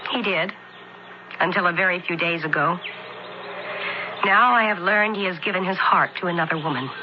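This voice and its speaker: Radio drama: actress as proud, vengeful young noblewoman, low register, formal and commanding, muffled noisy old broadcast. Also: normal speed, natural voice. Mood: scheming. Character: vindictive, proud, scheming young noblewoman of ancient Pompeii.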